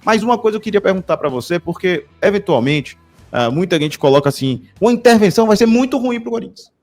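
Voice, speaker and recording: A man's voice: high voice, animated, fast, a little mellow, soft background music. A man from Bahia, Brazil, hosting a channel and asking long, rambling questions in casual talk. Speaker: channel host. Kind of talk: casual discussion.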